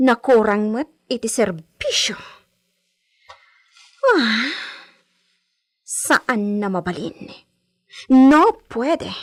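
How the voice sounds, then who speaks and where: Woman acting high, haughty young heiress. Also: lively intonation. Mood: scornful, imperious. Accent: Filipino. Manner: haughty, drawn-out, Spanish-flavoured phrasing. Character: haughty young landowner's daughter, imperious and spoiled. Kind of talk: Ilocano radio drama acting.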